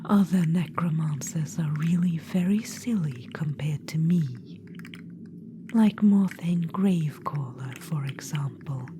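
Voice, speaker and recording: A woman voicing a vain undead witch-queen necromancer in an ASMR roleplay, whispering softly and calling the listener darling. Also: fairly low pitch. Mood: scornful whisper. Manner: soft intimate whisper, calls listener darling; smug, boastful, self-satisfied. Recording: whisper with steady background noise.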